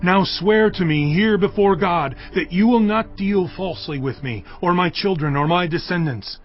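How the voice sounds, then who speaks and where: A man's voice: high-pitched, moderate pace, close to an everyday voice. An actor playing a wary, insistent king in a dramatized audio Bible, speaking in an emphatic, declamatory way. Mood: earnest, insistent. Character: Abimelech, insistent, wary male biblical king. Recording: dull audio with faint music.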